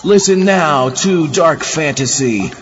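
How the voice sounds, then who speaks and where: A man's voice: fairly high pitch, animated, slow pace, music in the background. A man hosting a horror radio show, reading a scripted spooky introduction to a tale. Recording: muffled, with clear background noise.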